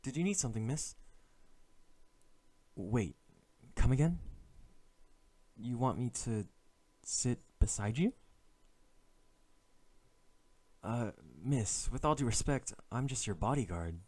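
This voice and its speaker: A man's voice: medium pitch, lively intonation, unhurried, long pauses, darkish tone. A man playing an exasperated assassin who poses as a dutiful bodyguard in an ASMR audio roleplay, alternating polite formal address with grumbling inner thoughts. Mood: hesitant, formal.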